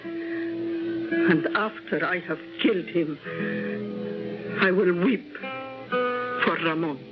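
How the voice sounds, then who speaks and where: A woman playing a passionate old peasant mother with a Latin American Spanish accent, halting and theatrical, in old-time radio drama style. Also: medium pitch, lively intonation, muffled recording. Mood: anguished, vengeful. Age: older. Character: passionate old peasant mother, fiercely loyal, later vengeful. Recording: band-limited old radio recording, music behind.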